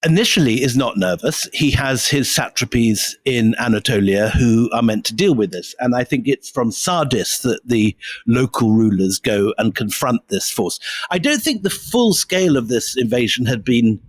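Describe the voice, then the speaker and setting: A man's voice: mid-range voice, lively intonation, normal speed, no echo. Guy on a history podcast, mid voice, chatty and eager to explain, dry sound. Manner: enthusiastic, explanatory. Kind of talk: conversational history storytelling.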